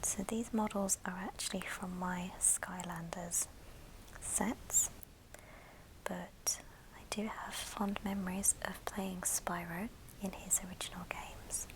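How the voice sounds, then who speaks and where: Whispering woman, slow ASMR. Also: lowish voice, steady tone, rough recording. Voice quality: soft, breathy whisper. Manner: unhurried, gentle, in short phrases. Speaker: ASMR video host. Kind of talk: ASMR show and tell.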